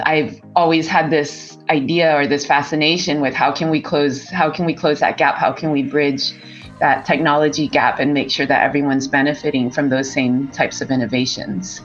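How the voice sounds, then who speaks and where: A woman with a low voice and a Californian American accent speaks as an interview guest. She answers in a conversational way over a remote call. Faint music is in the recording. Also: lo-fi sound. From San Francisco Bay Area, United States. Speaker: interview guest, startup co-founder and CEO. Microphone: remote video call.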